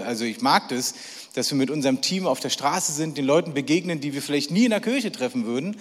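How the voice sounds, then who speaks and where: A middle-aged man preaches to a live church crowd and keeps cracking jokes and throwing questions at them. His voice sits a little high for a man. It rings with long reverb in a big hall. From Berlin, Germany. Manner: chatty, jokey, asks the audience questions. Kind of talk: lively sermon to a live audience.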